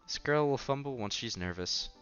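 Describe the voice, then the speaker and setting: A man's voice: mid-range voice, expressive, moderate pace, smooth, lo-fi sound. A man reading a visual novel aloud on a headset mic, acting out the dialogue and adding offhand comments in a casual way. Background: soft music.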